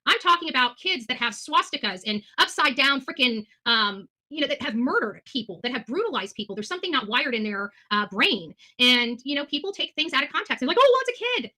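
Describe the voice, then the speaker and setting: A woman with an American accent and a very sharp, forceful voice, a bit higher than most. She talks fast and bluntly, arguing her side in unscripted live chat and swearing freely. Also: very animated. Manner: blunt, combative, profane. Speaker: guest answering audience questions; talk show host.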